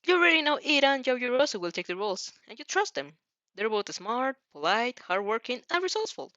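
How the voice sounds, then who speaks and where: A woman's voice: fairly high pitch, sing-song, brisk, bright, forceful tone, no echo, lo-fi sound. A woman narrating fan fiction expressively as an amateur reader, sometimes stumbling over words.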